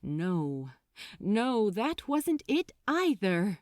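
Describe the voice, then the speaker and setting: A woman's voice: mid-range voice, sing-song, very slow, a little mellow, no room sound, studio quality. A woman voicing an anxious, polite male angel with a prim, flustered, old-fashioned manner. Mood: worried musing.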